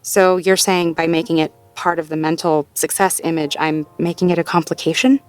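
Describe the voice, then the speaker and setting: A woman's voice: sits low, fairly even intonation, moderate pace, clean tone, faint music behind, natural voice. A woman playing a sweet, self-doubting, playfully wry girlfriend in an intimate audio roleplay, talking casually and conversationally with a partner. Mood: wry, conceding. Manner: conversational, casual, with sighs and soft chuckles. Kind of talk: intimate slice-of-life audio roleplay acting, one-sided conversation.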